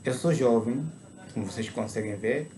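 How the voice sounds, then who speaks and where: A man in his twenties with an Angolan Portuguese accent, giving a conversion testimony as a sermon and speaking slowly and deliberately, at times with emphatic preaching. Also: mid-range voice, dark tone, a little hiss or hum. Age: 20s.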